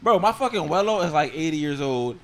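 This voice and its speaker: A man's voice: fairly high pitch, lively intonation, fairly quick, crowd noise. A man in his twenties co-hosting a podcast, chatting casually in slangy, laughing banter. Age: 20s. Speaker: podcast co-host. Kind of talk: casual chat.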